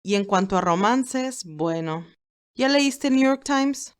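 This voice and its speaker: A woman voicing a loyal, formal male business aide in an audio story, measured and advising. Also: fairly high pitch, lively intonation, clear, resonant tone, voice pushed up. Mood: teasing, advising.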